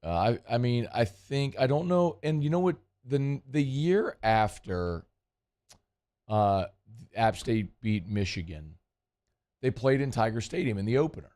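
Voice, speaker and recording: A man's voice: mid-range voice, lively intonation, unhurried, darkish tone. A man hosting casual sports talk radio, chatty and rambling, his voice worn and strained. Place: radio studio.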